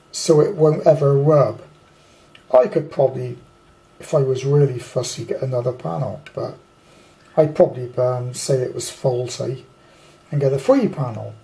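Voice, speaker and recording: A man's voice: mid-range voice, mellow. A British man giving a casual, off-the-cuff hobbyist review in a rambling, blunt, colloquial way. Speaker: amateur radio hobbyist reviewing a kit. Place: indoors at a tabletop.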